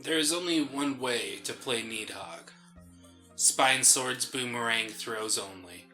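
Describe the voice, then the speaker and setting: Very bright, sharp-voiced man chatting casually. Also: mid-range voice, slow, rough recording. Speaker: co-host of a gaming let's play show. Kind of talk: casual chat, game commentary. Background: faint game music.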